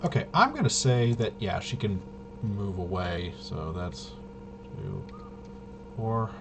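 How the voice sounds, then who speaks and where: A man's voice: lowish voice, wildly swooping pitch, slow, gravelly, background noise. A man casually thinking aloud as he plays a tabletop roleplaying game, hesitant and muttering to himself while he checks rules. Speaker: solo tabletop RPG player demonstrating gameplay.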